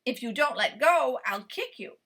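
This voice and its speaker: A sly little male guinea pig from a storybook, talking fast and high, as a woman reads him aloud. His squeaky voice swings widely as he begs and schemes. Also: lively intonation, pushed and bright, exaggerated voice. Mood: frantic. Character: clever small male guinea pig trickster.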